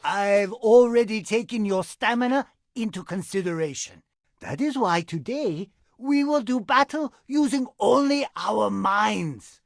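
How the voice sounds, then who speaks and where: A man playing an aging mutant rat father and martial arts teacher in an animated game, with a raspy, theatrical, instructive delivery. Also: high-pitched, measured pace, no echo, clean studio sound, full character voice. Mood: stern, emphatic. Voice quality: raspy, gravelly.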